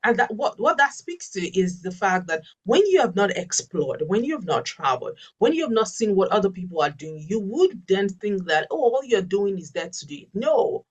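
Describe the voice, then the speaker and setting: A woman's voice: mid-range voice, sing-song, moderate pace, hardly any pauses, far from the mic, poor audio. A Yoruba-speaking woman, a life coach answering webinar questions earnestly and emphatically, often repeating her key phrases. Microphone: video call.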